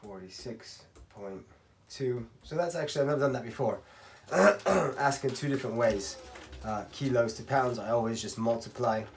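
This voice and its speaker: A man from Spain giving a casual, rambling hands-on tutorial as a racquet stringer, often clearing his throat. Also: medium pitch, moderate pace. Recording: clattering workshop tool noise.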